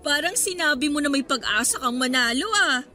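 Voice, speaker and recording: A woman's voice: high voice, animated, a little slow, bright, forceful tone, faint music behind, exaggerated voice. A woman acting a good-natured, teasing young student in a radio drama, with a Filipino accent and girlish, playful delivery. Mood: teasing, amused.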